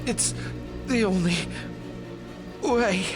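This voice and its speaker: Man playing a halting, strained, weakened hero. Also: high voice, over music. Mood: desperate. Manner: halting, strained, broken phrases. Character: weakened, desperate hero struggling to speak. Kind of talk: scripted fantasy audio drama acting.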